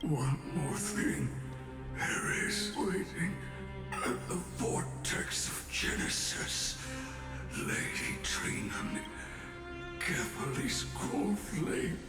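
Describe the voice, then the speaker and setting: An actor playing an aged, solemn master craftsman in a video game, speaking haltingly and breathily in inverted sentences. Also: fairly high pitch, expressive, full character voice. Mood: weary, solemn. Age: older. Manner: halting, inverted word order, breathy effortful delivery. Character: aged master craftsman, solemn, weary, loyal to his city. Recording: echoey voice under loud game music.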